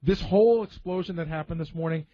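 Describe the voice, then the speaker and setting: A man's voice: on the high side, quick pace. A man in casual radio talk, telling stories as a member of the show's staff. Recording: dull, muffled lo-fi audio.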